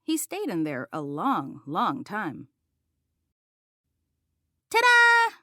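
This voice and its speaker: A woman's voice: low-pitched, sing-song, slow, a bit bright, dry recording, clean studio sound. A woman narrating a children's picture book as a read-aloud.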